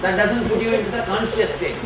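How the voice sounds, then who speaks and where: High-voiced man teaching in an echoey hall. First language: Tamil. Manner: slow, emphatic and declarative. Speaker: spiritual teacher. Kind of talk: answering questions from an audience. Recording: echoey hall from far off, noisy.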